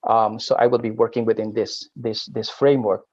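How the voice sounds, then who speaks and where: Halting Filipino man at a panel, muffled. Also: on the low side, level tone, darkish tone. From Philippines. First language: Aklanon. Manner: halting, with frequent word repeats. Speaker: academic panelist. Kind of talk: academic roundtable talk.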